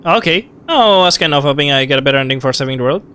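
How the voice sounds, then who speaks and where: A man's voice: a little high, lively intonation, rapid-fire, bright, forceful tone, a little hiss or hum. A man giving casual, playful game commentary, reacting in short murmured remarks on a close mic. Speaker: gaming video host.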